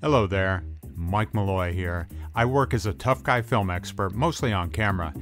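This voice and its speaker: Middle-aged male documentary host, low register, slangy tough-guy phrasing, light music bed. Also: moderate pace. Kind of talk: scripted documentary narration.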